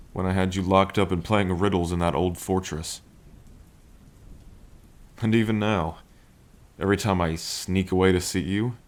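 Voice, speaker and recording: A man playing a playful, lovestruck dragon king in an intimate ASMR roleplay, with a languid, teasing and tender manner. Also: low-pitched. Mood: tender, smitten. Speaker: roleplay voice performer. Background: rain.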